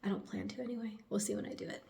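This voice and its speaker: A young woman chatting casually to her camera at home, rambling with fillers and dropping into whispered asides, her voice a little raspy. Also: medium pitch, very fast, mellow. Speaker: vlogger.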